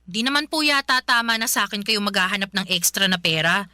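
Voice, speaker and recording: A woman's voice: medium pitch, lively intonation, a little fast, pushed and bright, clear, resonant tone, no echo, clean studio sound. A woman playing a put-upon young Filipina daughter in a radio drama, polite but plaintive and meekly protesting.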